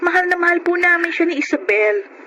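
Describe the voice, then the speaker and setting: Supportive younger sister: young adult, bright voice, earnest and reassuring, Filipino radio drama. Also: female voice, very high-pitched, expressive, fairly quick, exaggerated voice. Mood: cheerfully helpful. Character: caring, supportive younger sister and dutiful daughter. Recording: radio drama with faint background noise.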